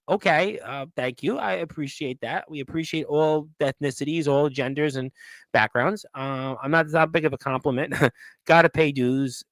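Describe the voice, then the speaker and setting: A man's voice: a little high, bright, forceful tone, clean tone, dry recording, low-quality recording. A man hosting a live wrestling talk show, chatting casually as he reads out and reacts to viewer comments. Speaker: host of a wrestling radio show.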